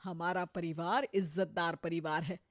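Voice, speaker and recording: A woman voicing a respectable, cautious older mother-in-law who gently admonishes in a cartoon moral story. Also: lowish voice, fairly even intonation, measured pace, dry recording, studio quality, voice pushed down, close to an everyday voice. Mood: cautioning. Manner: gently admonishing, resigned counsel.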